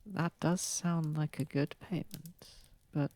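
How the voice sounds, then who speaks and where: A man voicing a gentle, courtly old vampire in an ASMR roleplay, speaking softly and tenderly, often close to a whisper. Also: fairly high pitch, fairly even intonation, no room sound. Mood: warm, pleased. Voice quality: soft, breathy, slightly gravelly, often near whisper. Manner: tender, polite, unhurried, soothing. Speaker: ASMR voice actor. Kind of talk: ASMR roleplay, soft intimate acting. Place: close, dry recording. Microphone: close microphone.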